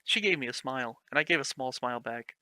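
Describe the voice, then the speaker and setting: A man's voice: mid-range voice, animated, fast, pushed and bright, no room sound. A man reading a clumsy fan story aloud, mocking it with sarcastic, swearing commentary. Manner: sarcastic, swears, laughs at the text.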